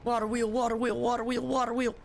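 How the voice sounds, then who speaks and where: A man's voice: extremely high pitch, little pitch movement, fast, gravelly, slight background noise. A man streaming a game live, commenting playfully and theatrically, often exclaiming and repeating himself. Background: game music.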